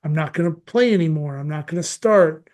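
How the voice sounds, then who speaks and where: A man with an American accent hosting a casual interview, speaking thoughtfully and haltingly. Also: a little high, a little mellow. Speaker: podcast host.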